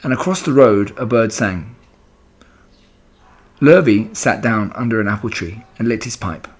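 A man narrating a children's book aloud in an expressive storytelling style. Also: fairly low pitch, a little slow, breathy edge.

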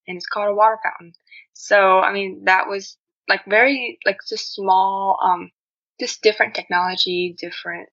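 Bright young woman with a Vietnamese accent. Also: medium pitch, dull audio. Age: young adult. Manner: candid, chatty, frequent "like" and "you know". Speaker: podcast guest, marketing student. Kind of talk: casual personal storytelling in an interview.

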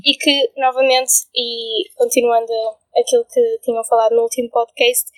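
Young woman, European Portuguese accent, agreeable podcast co-host; slightly high voice, dry close recording. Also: flat delivery, normal speed, no room sound, rough recording. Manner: agreeable, often affirming others, hesitant fillers. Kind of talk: casual podcast discussion.

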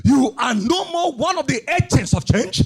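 A man preaching a fiery sermon, shouting and chanting in a sing-song cadence, repeating phrases and breaking into tongues. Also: very high-pitched, big pitch swings, moderate pace, creaky.

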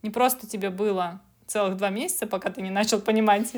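A woman's voice: mid-range voice, fairly even intonation, moderate pace. A woman co-hosting a podcast, speaking conversationally and sometimes searching for words. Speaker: podcast co-host. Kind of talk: casual podcast chat.

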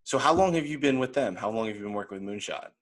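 A young man hosts a casual interview show with an easygoing, upbeat manner. His voice sits a little on the low side. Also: quick pace. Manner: upbeat, energetic intro, then relaxed casual questions. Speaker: podcast host.

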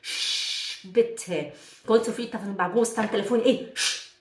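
A woman with a Brazilian accent from Pará giving an informal, chatty language lesson, repeating phrases deliberately and checking in with her listeners. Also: medium pitch. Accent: Brazilian Portuguese, from Pará. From Belém do Pará, Brazil. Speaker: German language teacher. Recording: echoey room, distant from mic.

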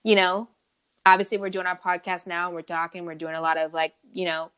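A woman's voice: fairly low pitch, little pitch movement, fairly quick, fairly bright tone, smooth. A young woman co-hosting a podcast, chatting casually, affirming and laughing easily. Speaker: podcast co-host. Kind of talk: casual chat.

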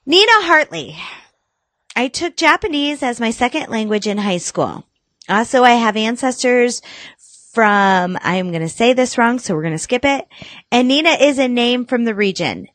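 A woman's voice: a little high, animated, normal speed, fairly bright tone, no room sound. A woman co-hosting a casual podcast chat, swearing freely, reading things aloud and laughing with her co-host. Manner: laughing, profane, bantering.

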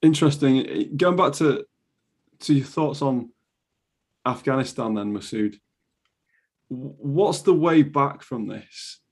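A man interviewing a guest, asking probing questions in a measured way and repeating words as he goes. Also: mid-range voice, husky.

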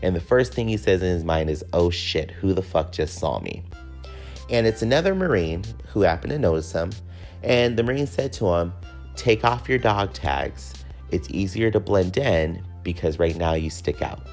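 A man with a deep, low voice hosts a personal podcast. He speaks in a casual, candid way, as if chatting directly with his listeners, and swears freely. Faint music sits behind his voice. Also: lively intonation, normal speed, a little mellow. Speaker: podcast host.

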